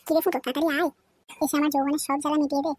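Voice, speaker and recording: Woman doing a brazen cartoon sister-in-law, high voice, rapid-fire teasing, music underneath. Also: flat delivery, darkish tone, natural voice. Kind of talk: Bengali comedy cartoon dubbing. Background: background music.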